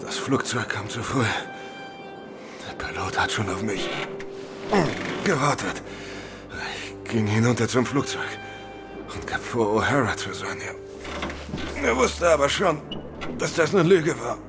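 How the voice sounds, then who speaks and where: Schwer verletzter, verängstigter Mann mit knarrender Stimme. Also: male voice, medium pitch, lively intonation, unhurried, long pauses, music in the background. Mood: weak, urgent. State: in pain, weak and gasping. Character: badly beaten, wounded man, frightened and agitated. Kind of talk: German audio drama acting.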